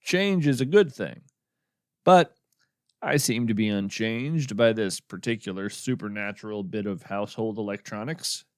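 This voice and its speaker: A man playing a wry, musing naturalist who hosts a radio show of the uncanny, narrating in a measured, contemplative, folksy way. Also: on the low side, dry recording, studio quality, lightly acted. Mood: wry, reflective. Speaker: podcast host and narrator. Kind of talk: scripted narration, reflective storytelling with poetry reading.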